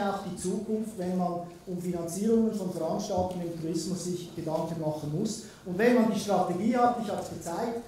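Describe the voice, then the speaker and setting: A man's voice: sits high, mellow, far from the mic, slight background noise. A man with a Swiss German accent giving a conference keynote in a conversational way, with frequent filler sounds. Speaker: keynote speaker. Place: large conference hall. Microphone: distant room recording. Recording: echoey hall, from afar.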